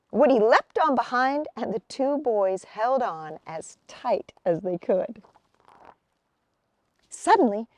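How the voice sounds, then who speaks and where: Slow, high-pitched, expressive woman storyteller. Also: dry recording. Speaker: storyteller reading a picture book aloud. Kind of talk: expressive children's storybook narration.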